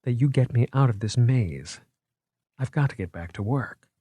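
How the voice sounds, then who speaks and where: A man reading an audiobook, voicing a sharp, skeptical young female student in a dry, measured manner. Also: medium pitch, animated, breathy edge, no echo, voice pushed up. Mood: pointed. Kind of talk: audiobook character voice.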